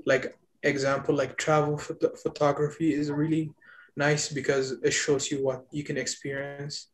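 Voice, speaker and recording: A man, explaining things in a measured, hesitant way as a guest in a casual podcast conversation. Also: fairly high pitch, flat delivery, poor audio. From Ethiopia.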